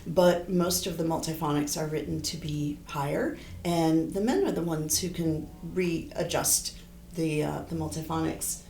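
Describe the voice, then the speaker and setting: A middle-aged woman with a low, gravelly voice talks through her ideas in a relaxed interview. She wanders and restarts as she thinks aloud. The room is echoey, with a faint hum behind her. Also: a little slow. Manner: thoughtful, rambling, frequent restarts. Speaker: horn player and composer.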